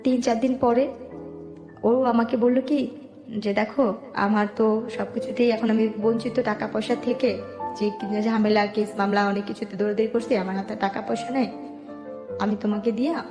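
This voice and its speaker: An echoey room surrounds a woman's fairly high voice. She speaks with a Bangladeshi accent, recounting her own life to an interviewer in everyday conversational words, often acting as reporter of other people's dialogue. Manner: colloquial, step-by-step narrating with quoted dialogue. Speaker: interview guest telling her life story. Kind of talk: personal testimony in conversational speech. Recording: echoey room with music underneath. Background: background music.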